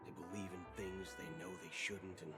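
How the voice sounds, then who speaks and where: An actor playing a shrewd, talkative space ranger in a video game, with a folksy, wry, conversational manner. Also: male voice, sits low, flat delivery, normal speed. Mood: cynical. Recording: loud music behind.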